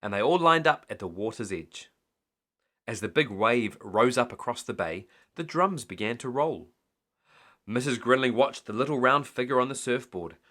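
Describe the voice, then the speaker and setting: A man reading a children's bedtime story aloud in an animated storytelling style. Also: mid-range voice, moderate pace.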